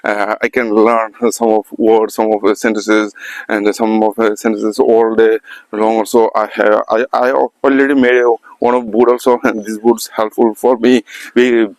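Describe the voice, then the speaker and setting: A man from India with an Indian English accent, speaking English as a second language in a halting, repetitive motivational monologue to camera, filmed at a calm, quiet spot. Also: fairly low pitch, dry recording, low-quality recording. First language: not English. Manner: halting, repetitive non-native phrasing. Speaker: English learner vlogger.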